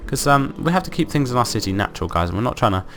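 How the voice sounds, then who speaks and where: Croaky, upbeat man narrating gameplay. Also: medium pitch, very animated, racing, fairly bright tone, slight background noise. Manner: upbeat, rambling, thinks aloud with fillers. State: croaky from illness. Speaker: let's play host. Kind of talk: casual gameplay commentary.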